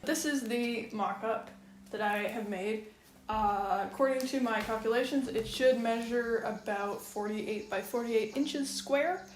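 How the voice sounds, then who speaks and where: A woman's voice: fairly high pitch, unhurried. Chatty woman in an echoey kitchen. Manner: chatty, wry, matter-of-fact. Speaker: quilting vlogger. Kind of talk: casual chatty tutorial. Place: home kitchen. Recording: echoey room with faint ambience.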